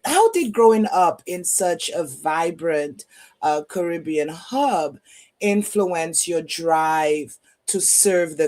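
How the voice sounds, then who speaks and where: Slow, halting woman interview host. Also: on the low side, darkish tone. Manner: slow, halting with many fillers. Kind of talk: interview questions. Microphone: video call.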